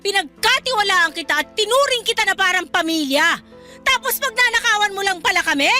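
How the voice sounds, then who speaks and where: Betrayed single mother for radio melodrama, played by an actress: very high, forceful, accusing and scolding. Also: animated, a little fast, faint music behind, full character voice. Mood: accusing, angry. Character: furious betrayed single mother confronting her thieving maid. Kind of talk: melodramatic radio drama acting.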